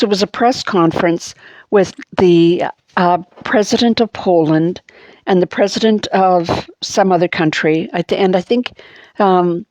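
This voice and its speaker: Slow-talking Montreal English woman. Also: fairly low pitch, dry recording, low-quality recording. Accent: Anglophone Montreal. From Montreal, Canada. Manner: halting, many fillers and restarts. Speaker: vlogger. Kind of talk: personal political monologue.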